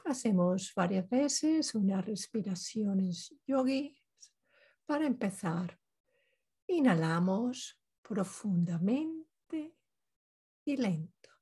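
A woman leading a guided meditation, giving calm instructions in short phrases with pauses between them. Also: mid-range voice, breathy edge.

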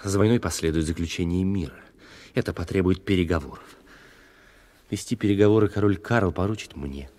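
An actor playing a passionate, imperious duke in a radio drama, courtly and commanding. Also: male voice, low-pitched, brisk, mellow, close to an everyday voice. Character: passionate, imperious English duke in love with a queen. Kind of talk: theatrical radio drama acting. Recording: radio play with music underneath.

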